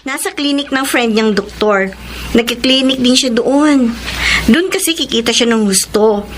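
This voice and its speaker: A woman's voice: a little high, moderate pace, close to an everyday voice. A young woman with a Filipino accent playing a spirited, outspoken daughter in a radio drama. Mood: chatty, candid. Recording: faint background noise.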